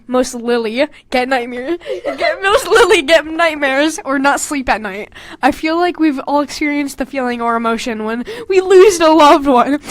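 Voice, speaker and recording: A young woman with a high-pitched voice, recorded dry and close. She reads and comments like a casual podcast host, animated and giggly, mocking herself with plenty of swearing. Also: dry recording. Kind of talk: casual comedic commentary while reading own old fanfiction aloud.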